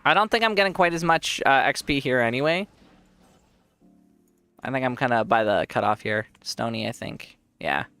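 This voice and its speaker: Congested, bright-voiced male streamer. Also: mid-range voice, animated, normal speed, clear, resonant tone, dry recording, faint music behind. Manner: rambling, self-correcting, laughs often. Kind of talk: casual gameplay commentary.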